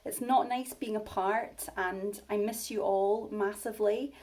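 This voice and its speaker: A woman speaking as a primary school teacher, addressing and reading to young children in simple, expressive language. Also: mid-range voice, a little slow. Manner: simple, child-directed delivery.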